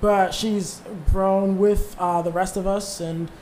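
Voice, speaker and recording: A man's voice: high voice, flat delivery, slow pace, darkish tone, slight background noise, poor audio. Easygoing young man in his twenties. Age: 20s. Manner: easygoing, rambling storyteller with fillers. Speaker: band leader and vocalist. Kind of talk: casual interview chat.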